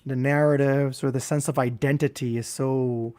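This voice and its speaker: A man hosting a casual interview, speaking reflectively and haltingly, searching for words in an intellectual way and repeating himself. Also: medium pitch, steady tone, dark tone. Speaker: interviewer and host.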